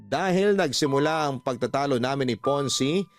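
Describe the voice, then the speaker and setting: A man narrating a scripted first-person story as a radio drama host, measured and sentimental. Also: mid-range voice, lively intonation. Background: faint instrumental music.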